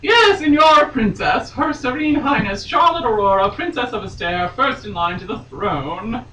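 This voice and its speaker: A woman's voice: fairly high pitch, animated, normal speed, a bit bright. A woman voicing a formal, compassionate female lawyer who makes stagey royal proclamations. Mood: grandly announcing. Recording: roomy, noisy, distant home microphone.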